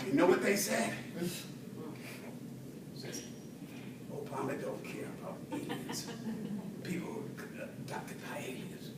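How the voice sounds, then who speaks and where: A man delivering a humorous tall-tale speech to an audience in a theatrical way, with dramatic pauses and swells. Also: high-pitched, expressive. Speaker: speech contest storyteller at a Toastmasters club. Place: indoor room with an audience. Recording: roomy, distant mic, faint noise.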